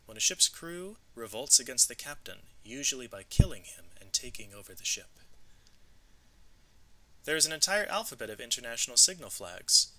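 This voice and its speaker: A man reads an educational storybook aloud in a medium-pitched voice. His tone is strikingly bright and forceful. He emphasises phrases clearly and expressively, like material for a lesson. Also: very animated, moderate pace, rough recording. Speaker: read-aloud narrator. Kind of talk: educational storybook narration with vocabulary asides.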